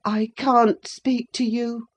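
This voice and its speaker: A woman plays a sickly teenage boy from a storybook, pitched high and pettish. He draws out his words in a spiteful, self-pitying whine, as if every slight is an injury. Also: takes it slowly, a little mellow. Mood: whining, self-pitying. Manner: whining, pettish. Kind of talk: dramatised audiobook character voice.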